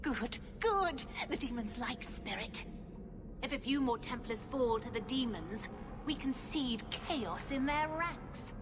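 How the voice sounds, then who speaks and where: A woman's voice: fairly high pitch, expressive, slow pace. An actress playing a haughty, scheming female blood mage villain in a video game, speaking in a grandiose, menacing, theatrical way. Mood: gleeful scheming. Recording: long hall reverb, clear background noise.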